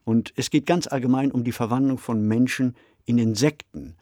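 A man's voice: mid-range voice, steady tone, normal speed, dark tone, dry recording, studio quality. A man, co-hosting a podcast in a reflective, articulate conversational style. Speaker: podcast co-host. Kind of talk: conversational discussion of radio drama.

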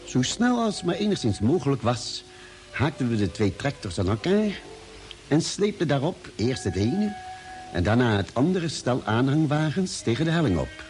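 An actor playing a thoughtful space expedition doctor in a radio drama, telling the story in a measured, dramatic way. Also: male voice, medium pitch, sing-song, darkish tone, a little hiss or hum, lightly acted. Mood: solemn, suspenseful. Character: thoughtful male doctor narrating a Mars expedition. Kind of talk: radio drama narration and dialogue. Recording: old radio drama, music behind.

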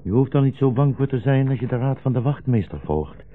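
An actor playing a weary, resigned old blind rabbi in a 1960s radio drama, measured and formally polite, with a meek irony. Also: male voice, mid-range voice, lively intonation, lightly acted. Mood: tired, reassuring. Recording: dull 1960s radio recording, music behind.

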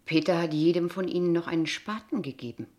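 An actress in a German radio play as a polite, probing kindergarten teacher, speaking in a measured, formal, pointed way. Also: female voice, lowish voice, a little mellow, close to an everyday voice. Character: polite, insistent, probing kindergarten teacher, a young unmarried woman.

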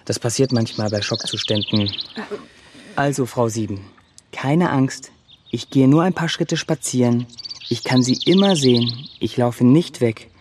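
A man's voice: medium pitch, measured pace, noisy background, close to an everyday voice. An actor playing a polite, attentive male carer with a soothing, orderly manner in a radio crime play. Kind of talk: German radio play acting. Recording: loud outdoor birdsong behind.

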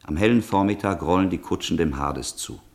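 Male radio-drama narrator, low register, clipped scripted dramatic introduction. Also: brisk, darkish tone.

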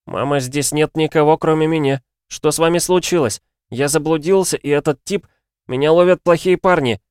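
A man's voice: medium pitch, moderate pace, dry recording, clean studio sound, pitched above the performer's own voice, natural voice. A man voicing a frightened young boy in an audiobook, crying and desperately pleading with his parents. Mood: distraught.

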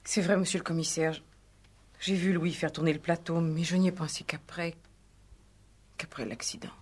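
An actress playing a polite, composed bourgeois hostess in a 1960s radio play. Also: female voice, lowish voice, steady tone, normal speed, husky. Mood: subdued.

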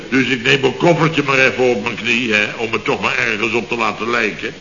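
A man's voice: medium pitch, fairly even intonation, fairly quick, gravelly, sharp tone, a little hiss or hum. A man playing a construction boss, telling a comic story in a radio sketch and peppering it with little tags. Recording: dull, echoey old radio recording.

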